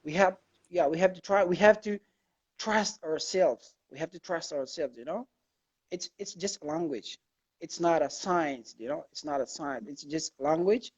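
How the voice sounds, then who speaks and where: Earnest man, dull lo-fi sound. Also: fairly high pitch. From Afghanistan. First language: not English. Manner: earnest, halting, many restarts and repeated phrases. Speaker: guest. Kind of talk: casual radio chat.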